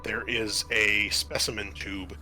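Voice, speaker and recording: A man with a slightly low, very bright voice works as a game master, narrating a tabletop scene. He talks conversationally and haltingly, circling back to restate details, with faint noise behind him. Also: flat delivery.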